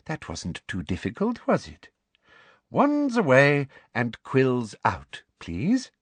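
A man's voice: medium pitch, wildly swooping pitch, slow, no room sound, pitched above the performer's own voice, exaggerated voice. A man voicing a sickly-sweet, condescending, prim woman teacher in an audiobook. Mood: sweetly condescending. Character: sickly-sweet, strict, girlish middle-aged woman teacher.

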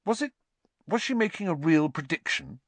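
A man's voice: on the high side, lively intonation, moderate pace, vocal fry, dry recording, voice pushed up. A man voicing an earnest, troubled teenage schoolboy in an audiobook, speaking with agitated insistence. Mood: anxious, urgent. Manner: agitated, insistent, hesitant in places. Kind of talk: audiobook character voice.

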